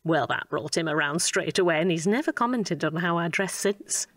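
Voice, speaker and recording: A woman's voice: fairly low pitch, animated, normal speed. An actress playing a kindly, chatty older woman, a motherly family friend, in a radio drama. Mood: chatty, affectionate.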